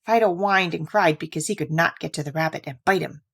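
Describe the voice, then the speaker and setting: A woman narrating a children's story from a book in an expressive, deliberate read-aloud manner. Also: deep voice, creaky, husky. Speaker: storybook reader.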